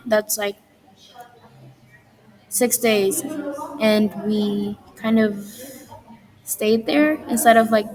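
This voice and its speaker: A woman's voice: mid-range voice, poor audio. A young woman casually walks through her portfolio, listing items and often correcting herself. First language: Indonesian. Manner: rambling listing with frequent self-corrections. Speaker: student presenting her portfolio. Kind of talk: casual presentation walkthrough.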